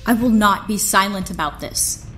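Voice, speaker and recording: Female actor as an indignant young noblewoman, idealistic, earnest, dramatic, mid-pitched, faint music behind, radio drama. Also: normal speed, a bit bright, close to an everyday voice. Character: defiant, idealistic young niece accusing her uncle. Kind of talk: audio drama acting.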